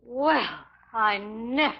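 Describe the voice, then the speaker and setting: Haughty, high-voiced sitcom mother. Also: takes it slowly, creaky, heavily acted. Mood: outraged. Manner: prim, clipped, imperious. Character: haughty, indignant, domineering middle-aged mother. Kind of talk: 1950s radio sitcom acting. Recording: muffled 1950s radio recording.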